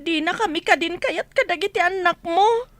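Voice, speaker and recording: A woman's voice: extremely high pitch, moderate pace, fairly bright tone, no echo. An actress playing a long-suffering, plaintive and tearful wife and mother in an Ilocano radio drama, with an Ilocano accent. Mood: pleading.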